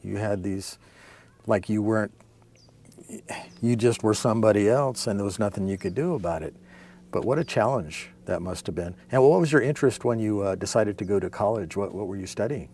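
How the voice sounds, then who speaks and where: A man hosting an interview, who asks questions in a calm, relaxed way and adds short prompts. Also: fairly low pitch, fairly quick, slight background noise. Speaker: interview host. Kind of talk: interview conversation.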